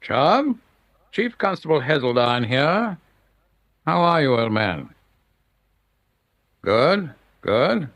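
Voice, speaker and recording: A man's voice: a little high, sing-song, takes it slowly, pauses often, fairly bright tone, heavily acted. A man acting a jovial, snobbish chief constable in a radio drama, breezy and clubby. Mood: hearty, breezy. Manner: hearty, clubby, old-boy breeziness. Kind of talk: radio drama acting, one side of a phone call.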